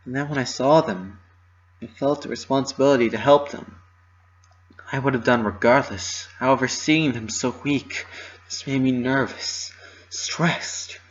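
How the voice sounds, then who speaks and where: A man voicing a distraught, high-strung young female pony in a dramatic story reading, with strained emotional delivery. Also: a little slow, lo-fi sound, close to an everyday voice. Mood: anxious, remorseful.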